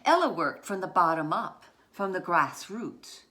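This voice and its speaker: A woman's voice: lowish voice, big pitch swings, pushed and bright. Woman author reading a picture book aloud. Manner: deliberate short phrases, dramatic emphasis on key words. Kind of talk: scripted narration, children's picture book read aloud.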